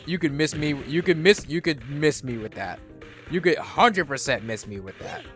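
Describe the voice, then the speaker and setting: Young guy rambling over his gameplay, bright forceful voice, game music playing faint behind. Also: fairly high pitch, animated, moderate pace. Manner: rambling, many restarts and fillers, swears casually. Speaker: gaming streamer. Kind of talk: casual gameplay commentary.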